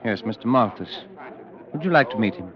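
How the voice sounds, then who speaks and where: An actor playing an eager, sociable gentleman club member, polite and chatty, in a vintage radio drama. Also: on the low side, animated, brisk, natural voice. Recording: dull, lo-fi vintage radio recording.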